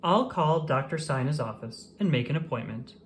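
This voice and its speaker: A person reading a children's storybook aloud in an expressive, animated way with dramatic emphasis. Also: medium pitch, moderate pace, a little hiss or hum. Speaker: children's book reader.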